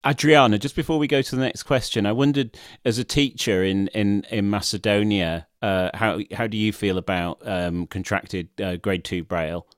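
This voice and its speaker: Brief: male host steering a panel, low voice, asking follow-ups. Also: steady tone, normal speed, few pauses, dry recording, studio quality. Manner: probing follow-up questions. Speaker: moderator. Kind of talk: webinar moderation.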